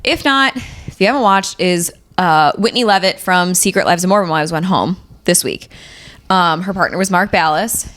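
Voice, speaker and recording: A woman's voice: on the low side, normal speed, a bit bright. A woman co-hosting a podcast in casual, chatty, informal banter. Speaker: podcast co-host.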